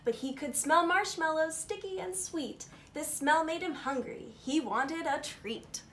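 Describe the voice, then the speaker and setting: A woman with a distinctly high voice reads a picture book aloud. She tells the story expressively and a little informally, as a co-reader. Faint noise sits behind her voice. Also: a little slow, fairly bright tone.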